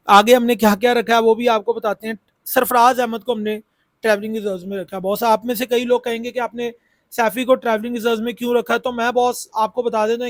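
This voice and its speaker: High-voiced man with a sore throat. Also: no echo. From Pakistan. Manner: chatty, repeats words, many fillers. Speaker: cricket YouTuber. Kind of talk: casual cricket analysis talk.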